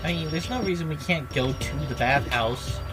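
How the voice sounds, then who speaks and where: A man's voice: a little high, moderate pace. A man streaming a video game, reading its narration aloud and commenting casually. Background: music.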